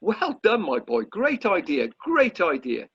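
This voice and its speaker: A man playing a jovial, flattering older society chairman in an amateur radio play, repeating himself as he gushes. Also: high-pitched, unhurried, slightly rough, exaggerated voice. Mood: encouraging. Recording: muffled video call audio.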